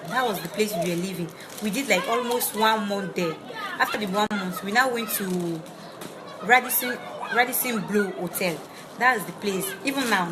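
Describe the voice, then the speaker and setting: A woman partly of Nigerian background, not a native English speaker, ranting bluntly to camera in halting English with many restarts. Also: medium pitch, noisy background. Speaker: vlogger. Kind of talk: direct-to-camera rant. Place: Ivory Coast.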